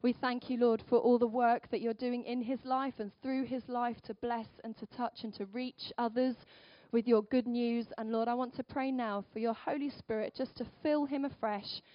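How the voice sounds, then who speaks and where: Brief: woman praying aloud in church, earnest, slightly high voice. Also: steady tone, moderate pace, dry recording, lo-fi sound. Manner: earnest, fluent prayer. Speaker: church member leading prayer. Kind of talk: spoken prayer.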